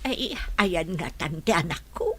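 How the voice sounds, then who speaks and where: A woman's voice: fairly low pitch, lively intonation, slow, fairly bright tone, breathy edge, full character voice. A woman acting as a forgetful, confused old grandmother in a radio drama.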